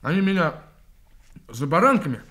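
An actor playing a naive, good-hearted young policeman in a radio drama, plaintive and halting as he repeats himself. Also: on the high side, sing-song, pushed and bright. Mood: aggrieved.

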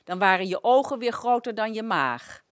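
A woman's voice: a little high, lively intonation, moderate pace, no echo. Woman, radio presenter, anecdotal storytelling, very varied intonation, dry sound. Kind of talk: relating anecdotes on a local radio show.

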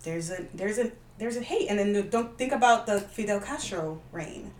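A woman co-hosting a podcast, explaining history in a halting way with restarts. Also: mid-range voice, expressive. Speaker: podcast co-host. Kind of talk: casual chat. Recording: roomy echo.

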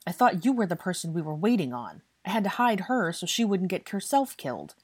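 Woman voicing an angry young wizard, husky and scolding. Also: on the low side, big pitch swings, fairly quick, no echo, voice pushed up. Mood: heated, defensive. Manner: heated, scolding, British swearing. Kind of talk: audiobook character dialogue.

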